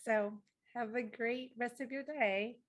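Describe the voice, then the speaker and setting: A woman leading an informal group listening session, conversational and halting as she searches for words. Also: fairly high pitch, fairly even intonation. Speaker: facilitator of a deep listening session.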